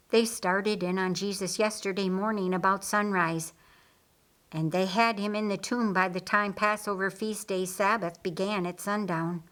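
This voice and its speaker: A woman's voice: mid-range voice, fairly bright tone, natural voice. Woman reading as a grave, reluctant brother. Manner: explanatory, grave. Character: reluctant, matter-of-fact brother in a Bible story. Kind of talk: audiobook character dialogue.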